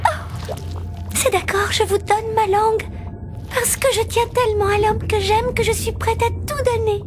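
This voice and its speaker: Lovestruck young cartoon mermaid, extremely high pitch, earnest, pleading, wide-eyed, prominent music behind. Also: female voice, fairly even intonation, normal speed. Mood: resolute, sad. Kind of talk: dubbed animated fairy-tale acting.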